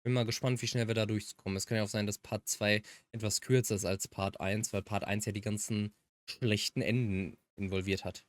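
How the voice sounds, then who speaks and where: A man's voice: fairly low pitch, steady tone, fairly quick, no room sound. A German man giving casual commentary on a game he is playing and reading aloud. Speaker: let's player.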